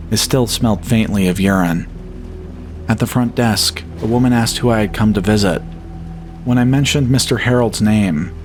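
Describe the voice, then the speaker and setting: Male horror podcast narrator, lower-pitched, measured and solemn, reading scripted first-person fiction over faint music. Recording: music bed behind the voice. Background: atmospheric music.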